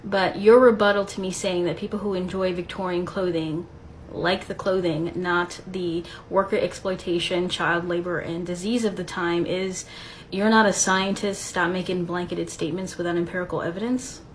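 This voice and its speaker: A woman's voice: lowish voice, fairly even intonation, moderate pace, slight background noise, low-quality recording. Indignant woman whose first language is Spanish. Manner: indignant, argumentative, point-by-point. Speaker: response video creator. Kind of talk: rebuttal commentary to camera.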